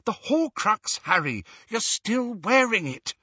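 A man voicing an anxious, bossy, quick-witted teenage witch in an audiobook story. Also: fairly high pitch, big pitch swings, fairly bright tone, slightly rough, no room sound, muffled recording, pitched above the performer's own voice, heavily acted. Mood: excited, impatient. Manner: impatient, insistent.

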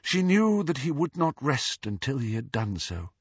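A man's voice: mid-range voice, sing-song, moderate pace, slightly rough, dry recording, highs cut off, pitched above the performer's own voice. A man voicing a proud, cold young woman ghost in an audiobook, with a stiff, disdainful and guarded manner. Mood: confessional. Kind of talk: audiobook character voice.

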